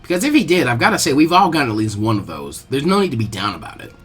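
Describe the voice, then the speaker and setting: Play as a man reading a friendly, caring teenage ninja pal in an anime story, lively in pitch and moving along briskly. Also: faint music behind. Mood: concerned. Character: friendly, concerned teenage boy ninja who loves snacks.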